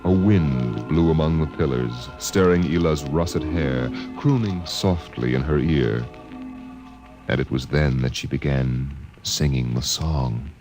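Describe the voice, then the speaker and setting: A man narrating an old science fiction radio drama in a solemn, measured, literary manner. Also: very deep voice. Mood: solemn, lyrical. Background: music.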